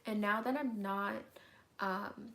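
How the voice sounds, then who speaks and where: A young woman, hoarse and getting over an illness, chatting candidly through a live Q&A at home, answering viewer comments with lots of "um"s and "like"s. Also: medium pitch, steady tone, slow pace, a bit bright. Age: young adult. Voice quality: raspy. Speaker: vlogger hosting a live Q&A. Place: at home, indoors.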